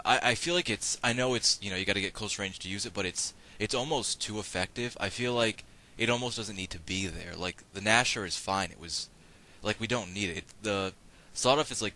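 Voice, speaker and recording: A man giving rambling, casual running commentary while playing an online shooter, his voice slightly raspy and hoarse from a cold, often breaking off mid-thought to react to the action. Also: sits low. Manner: rambling, self-interrupting, bursts of excitement at game action. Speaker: gameplay commentator.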